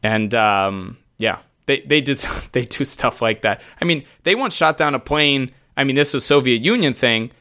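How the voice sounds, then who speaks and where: A man's voice: medium pitch, expressive, moderate pace, hardly any pauses, pushed and bright. Rambling, laughing man on a podcast. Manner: rambling, laughs often, swears casually. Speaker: podcast co-host. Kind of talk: casual podcast chat.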